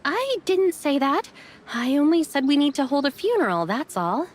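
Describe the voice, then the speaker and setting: A woman playing a caring but reserved young woman in an anime game, speaking gently and reassuringly. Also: high-pitched, sing-song, moderate pace.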